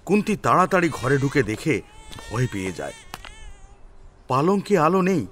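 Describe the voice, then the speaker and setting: A man narrating a story as a dramatic audio storyteller, in an expressive, suspenseful manner. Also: on the high side, normal speed, a little hiss or hum.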